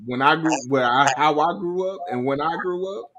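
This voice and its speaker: A man's voice: a little high, normal speed, fairly bright tone, dull audio. A man in his early fifties on a casual sports podcast, reminiscing and repeating himself as he talks. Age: 50s. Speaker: podcast co-host.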